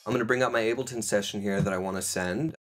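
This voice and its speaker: A man who is a DJ, walking through a software tutorial step by step in an instructive way. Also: on the low side, fast, loud music behind the voice.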